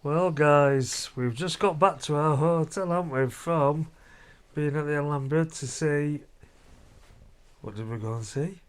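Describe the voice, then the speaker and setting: A man's voice: on the high side, measured pace, slight background noise. Chatty man vlogging. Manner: chatty, seeking agreement with tag questions. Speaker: family vlog host. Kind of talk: casual chat reviewing a family outing. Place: hotel room.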